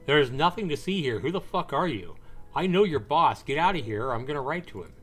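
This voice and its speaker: A man's voice: on the high side, very animated, a little fast, a bit bright, faint music behind, voice pushed up. A man voicing a distraught, defiant father as he reads a visual novel game. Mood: angry, defiant. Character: distraught, hot-tempered father, the game's suspected ex-husband.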